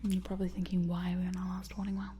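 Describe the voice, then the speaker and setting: A woman playing a cute but sinister scientist in an ASMR roleplay, coaxing in a soft, breathy whisper. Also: on the low side, completely flat delivery, very fast, background noise, close to an everyday voice. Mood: playful, conspiratorial. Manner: hushed, coaxing, murmuring, giggly asides. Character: cute sinister lady scientist, chatty, coaxing, quietly gleeful.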